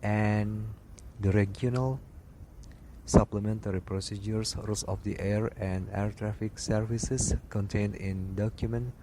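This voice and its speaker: A man reading aviation study material aloud in a slow, halting way, often restarting a word. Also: fairly low pitch, fairly even intonation, background noise. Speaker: aviation instructor.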